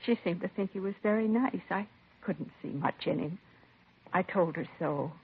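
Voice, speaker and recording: A stoic middle aged mom in an old 50s radio cop show, husky voice, tinny narrow sound. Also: female voice, lowish voice, normal speed. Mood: confiding. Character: composed middle-aged mother of a slain son, stoic. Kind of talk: 1950s radio crime drama acting.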